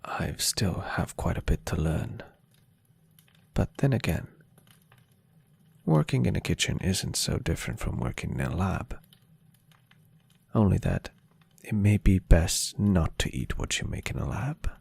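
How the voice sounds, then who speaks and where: A man voicing a gentle, adoring, centuries-old vampire boyfriend in a soft-spoken, tender and unhurried ASMR roleplay. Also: deep voice, very animated, exaggerated voice. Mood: tender, affectionate. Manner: tender, breathy, unhurried, softly teasing.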